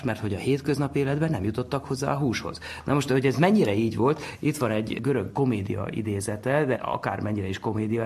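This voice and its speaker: A man with a mid-pitched, slightly rough and husky voice explains as an expert. His delivery is conversational and informal, building each point step by step. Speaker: expert commentator.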